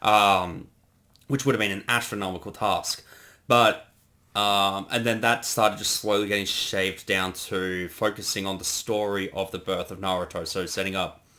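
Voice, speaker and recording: A man giving a casual creator commentary, explaining choices and thinking aloud with pauses. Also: deep voice, fairly even intonation, sharp tone.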